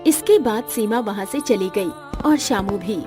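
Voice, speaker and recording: A woman narrating a cartoon story in an animated storybook style and calling out loudly in the character lines. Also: medium pitch, a little slow, music in the background. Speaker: narrator and voice actor.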